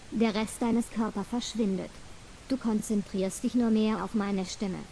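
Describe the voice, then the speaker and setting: A woman reading a scripted hypnosis induction in a slow, repetitive, soothing cadence. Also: medium pitch, dark tone, slight background noise, rough recording.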